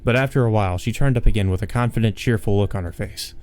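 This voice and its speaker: A man's voice: on the low side, fast talker, darkish tone. A man hosting a let's play, reading a visual novel aloud and adding casual asides. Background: game music.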